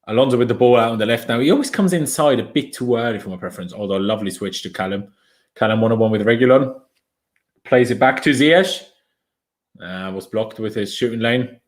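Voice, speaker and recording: A man's voice: mid-range voice, fairly quick. A young Austrian man, a native German speaker, giving casual live commentary on a football match and reading out viewer comments. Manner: chatty, opinionated, reads viewer comments aloud. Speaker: fan watchalong streamer.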